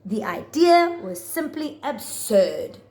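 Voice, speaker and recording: A woman reading rhyming picture books aloud to young children with theatrical, deliberate phrasing. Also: on the high side, big pitch swings, mellow. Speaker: children's storybook reader.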